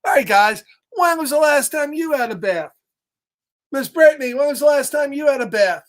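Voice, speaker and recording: A man's voice: very high-pitched, animated, moderate pace, voice pushed up. A man voicing a snarky, stubborn male pigeon from a children's picture book, flippant and defensive. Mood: defensive, indignant.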